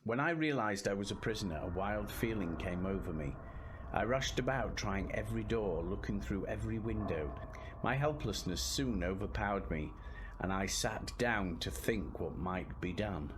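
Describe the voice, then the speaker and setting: An actor playing an anxious young gentleman traveller in a radio drama, narrating in an earnest, literary, measured way. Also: on the low side, animated, lightly acted. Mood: despairing. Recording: noisy recording with ambient sound.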